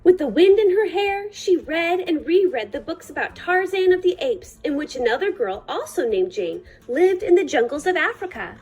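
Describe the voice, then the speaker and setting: A woman reading a picture book aloud for children at storytime, with measured, deliberate phrasing. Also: very high voice, sing-song, a little mellow.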